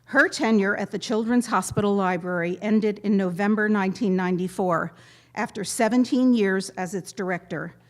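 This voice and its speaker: A woman giving a prepared memorial tribute to a gathering, speaking in measured, deliberate phrases with pointed pauses. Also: medium pitch. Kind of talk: prepared eulogy read aloud to a gathering.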